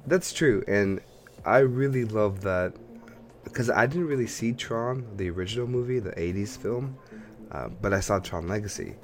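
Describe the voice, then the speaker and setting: A man's voice: lowish voice, normal speed, a little hiss or hum. A man co-hosting a podcast, chatting casually about games and films in a rambling, think-aloud way. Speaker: podcast co-host.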